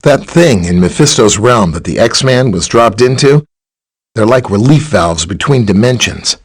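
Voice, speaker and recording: A friendly, knowledgeable superhero scientist from a video game. His voice sits on the low side with a husky grain. The pitch moves in an animated way, and he talks like an easygoing ally, conversational even when explaining. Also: unhurried. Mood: explanatory. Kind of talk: video game character dialogue, briefing and greeting.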